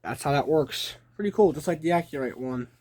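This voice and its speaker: Casting: young adult man, slightly high voice, casual hobbyist presenter, short deliberate phrases, faint noise in a handheld home recording. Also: animated. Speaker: hobby collector presenting a video. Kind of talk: casual show-and-tell narration. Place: small room at home. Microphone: handheld phone behind the camera. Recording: noisy handheld home video with clicking.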